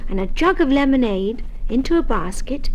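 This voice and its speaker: A woman reading a children's storybook aloud in a gentle, deliberate way. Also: sits high, expressive, low-quality recording. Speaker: story reader. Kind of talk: scripted storybook narration for children.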